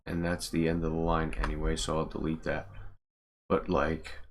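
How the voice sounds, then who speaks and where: A man's voice: rumbling low, little pitch movement, moderate pace, a little hiss or hum. A man giving casual livestream commentary, muttering to himself as he works on game mods. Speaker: streamer, game mod maker. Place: indoors at a computer.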